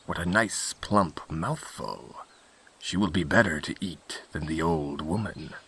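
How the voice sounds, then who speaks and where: A man voicing a sly, hungry wolf in a storybook, speaking in a hushed, crafty, coaxing way. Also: low voice, unhurried, slightly rough, voice pushed down, exaggerated voice, poor audio. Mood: sly, scheming. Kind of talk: storybook character voice.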